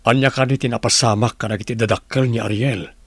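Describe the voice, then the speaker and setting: A man's voice: mid-range voice, steady tone, quick pace, slightly rough, dry recording. A man playing an earnest, anguished doctor in a radio drama, with solemn, pleading delivery. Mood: insistent, questioning. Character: anguished adult male doctor, earnest, insistent. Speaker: voice actor.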